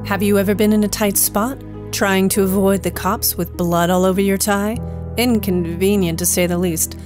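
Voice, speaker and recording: A woman's voice: medium pitch, fairly even intonation, normal speed, over music. A woman announcer delivering scripted narration in a radio-play style.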